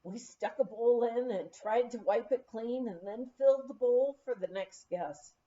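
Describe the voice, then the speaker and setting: A woman's voice: fairly high pitch, measured pace, warm tone, lo-fi sound. A woman reading a written memoir aloud as an audiobook narrator. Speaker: audiobook reader.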